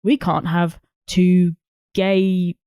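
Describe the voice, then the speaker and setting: A woman's voice: fairly low pitch, level tone, drawn-out words, warm tone, clear, resonant tone, dry recording, studio quality. A young woman co-hosting a film podcast, chatting casually in an informal, opinionated way. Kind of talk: casual chat.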